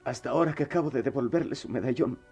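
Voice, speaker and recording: A man's voice: mid-range voice, racing, creaky, dark tone, faint music behind, close to an everyday voice. A man acting a remorseful young student and devoted son in a radio drama, heartfelt and dramatic. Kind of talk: radio drama acting and first-person narration.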